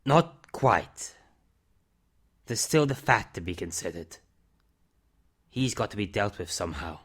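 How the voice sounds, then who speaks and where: A man playing an older reclusive character in an amateur audio drama, calm, firm and fatherly, speaking in terse, measured phrases. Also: lowish voice, slightly rough, heavily acted. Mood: firm, matter-of-fact.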